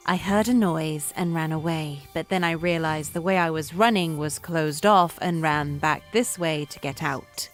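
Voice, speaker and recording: An actress playing a coolly unimpressed, skeptical young woman with a dry, deadpan delivery in a visual novel. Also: sits low, measured pace, hardly any pauses, faint music behind.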